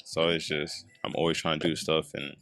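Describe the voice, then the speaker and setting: A man in his twenties with a deep voice, chatting casually as a podcast guest at an outdoor skate park, laid-back and rambling with lots of fillers. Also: flat delivery, brisk, creaky, fairly bright tone. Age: 20s. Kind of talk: casual chat. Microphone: handheld mic.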